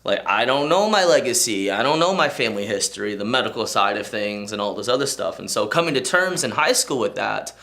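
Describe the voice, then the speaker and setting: Young man, co-host, bright, pitch jumping all over, joking around. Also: brisk, few pauses. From Michigan, USA. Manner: animated, jokey storytelling. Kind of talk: casual chat. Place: indoor studio set.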